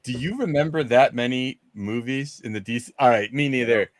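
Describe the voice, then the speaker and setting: A man's voice: medium pitch, moderate pace, no echo. A man hosting a casual panel discussion about films, talking in a rambling way and often changing tack mid-sentence. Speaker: host of a movie discussion show.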